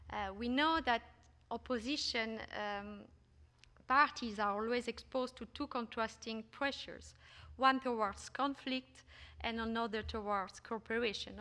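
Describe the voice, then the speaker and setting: A woman's voice: a little high, pushed and bright. Woman presenting in an echoing hall. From Belgium. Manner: hesitant, frequent "uh" fillers and restarts. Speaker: researcher presenting a paper. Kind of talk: academic conference talk. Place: conference hall.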